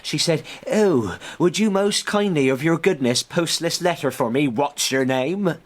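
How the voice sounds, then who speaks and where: A young servant in a radio drama. He is blunt, proud and scornful, talking in rough colloquial speech. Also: fairly high pitch, measured pace. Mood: bitter, defiant.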